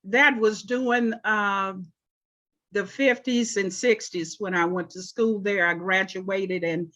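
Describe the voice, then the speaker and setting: A woman in her seventies, originally from Oklahoma, answering interview questions about her life in an unhurried way with frequent pauses. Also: mid-range voice, steady tone, a bit bright. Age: 70s. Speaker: interview guest, artist.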